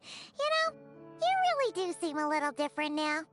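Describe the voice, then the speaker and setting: Tiny chirpy companion creature, squeaky, wildly bouncy pitch, game audio, clear music behind. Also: female voice, very animated, moderate pace. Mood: teasing. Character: tiny floating female companion, chirpy and curious. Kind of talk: game voice acting.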